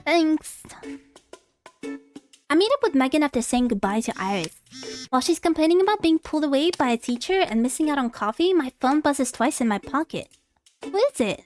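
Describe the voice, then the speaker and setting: A woman's voice: high voice, sing-song, a little fast, darkish tone, soft background music. A woman livestreaming a visual novel, reading the dialogue aloud and reacting expressively in casual talk. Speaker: streamer, VTuber.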